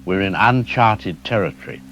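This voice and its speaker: A cautious scientist, played by a man, weighs his words with care and some hesitation. His voice is very low, and there is a faint hiss behind him. He sounds like a guest expert in a broadcast interview. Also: lively intonation, a bit bright, highs cut off. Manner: careful, hesitant, measured.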